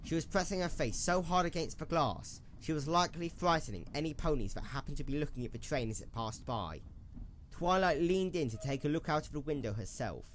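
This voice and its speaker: A man's voice: a little high, fairly quick, fairly bright tone, slight background noise. A man reading scripted story narration as the narrator of an audio drama.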